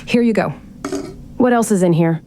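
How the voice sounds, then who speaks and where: A woman's voice: medium pitch, moderate pace, warm tone, slight background noise, lightly acted. An actress playing a sardonic, curious young woman in a fiction podcast drama, thinking aloud to herself in a naturalistic, exasperated way. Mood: incredulous, amused. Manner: wry, sarcastic, exasperated muttering to herself. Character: sardonic, curious young woman investigating her late relative's mysterious house. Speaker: lead actor in an audio drama.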